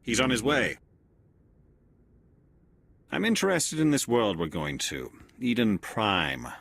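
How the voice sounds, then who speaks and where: An actor playing a cool, probing alien male Spectre agent in a video game cutscene, speaking in a measured, insinuating way. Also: on the low side, expressive, creaky, fairly bright tone, heavily acted. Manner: measured, insinuating, slow deliberate phrasing.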